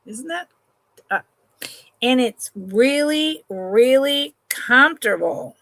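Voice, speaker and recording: Slow, relaxed woman hosting a live sale. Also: high-pitched, no room sound. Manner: chatty, unhurried, trails off and restarts sentences; affectionate to viewers, calls them honey and sweetheart. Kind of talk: slow, relaxed live-stream sales chat.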